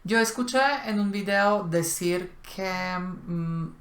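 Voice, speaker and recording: A woman co-hosting a casual conversation, telling stories with pauses and restarts. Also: fairly low pitch, fairly even intonation. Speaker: co-host of a language channel. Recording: roomy echo.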